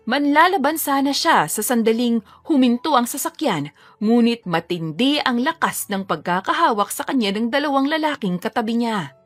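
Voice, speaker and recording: A woman's voice: on the high side, dry recording. A woman reading a scripted romance story as a dramatic narrator.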